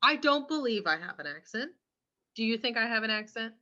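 A young woman from California, a podcast co-host, chatting casually and slangily while she keeps clearing her throat. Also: a little high, lively intonation, fairly quick, sharp tone. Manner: chatty, slangy, swears freely. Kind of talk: casual chat. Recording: muffled lo-fi recording.

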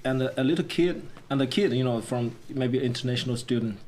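A man's voice: mid-range voice, brisk, darkish tone, a little hiss or hum. A young man speaking English with a Chinese accent, telling stories in casual conversation and often restarting while he searches for words. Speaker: guest.

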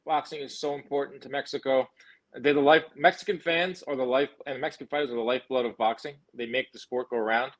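A man's voice: medium pitch, fairly even intonation, fast talker, fairly bright tone, low-quality recording. A young man answering interview questions as a boxing guest, speaking in emphatic, confident short statements. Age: young adult.

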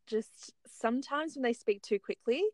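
A woman's voice: on the high side, normal speed, a little mellow, dry recording, clean studio sound. A woman hosting a casual chat, asking friendly questions and laughing often. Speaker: host.

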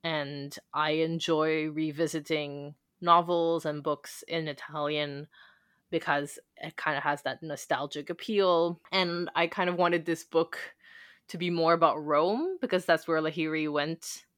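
Low-voiced reflective woman, dry sound. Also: steady tone, a little slow, fairly bright tone, clean tone, no echo. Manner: thoughtful, reflective, with frequent fillers. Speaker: podcast co-host. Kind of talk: casual book discussion.

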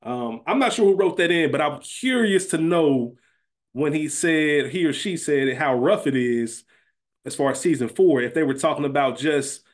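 A man's voice: a little high, moderate pace. A man hosting a podcast, chatting casually about television in an informal, slangy, conversational way. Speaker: podcast host.

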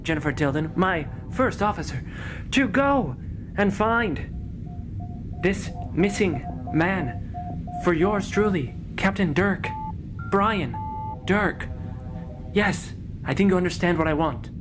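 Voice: mid-range voice, lively intonation, slow, long pauses, over music. A performer voicing a melodramatic, self-important space captain in an improvised radio parody, overwrought and repetitive. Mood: pleading, pompous.

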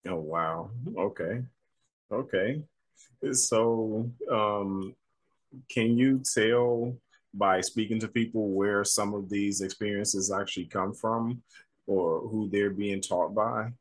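A man's voice: on the low side, slow. A man interviewing a guest, asking questions in a friendly, affirming way with frequent "mm-hmm" and "okay".

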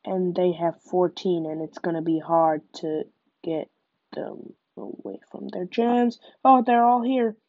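A woman's voice: fairly low pitch, measured pace, creaky, dry recording. Young woman, stuffy nose from a cold, gameplay commentary, dry muffled home recording. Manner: chatty, narrates each move. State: nasal from a cold. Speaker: gaming podcaster.

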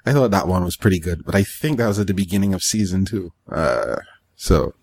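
Low-voiced man in casual, halting chat. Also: animated, darkish tone. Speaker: podcast co-host. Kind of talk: casual chat, recounting episodes.

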